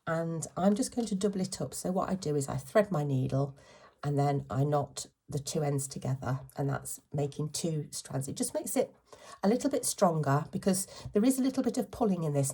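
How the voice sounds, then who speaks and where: A British woman with a slight cold and a croaky voice, chatting informally through a hands-on craft tutorial. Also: low-pitched, dark tone. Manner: chatty, informal, self-correcting. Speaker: craft channel host.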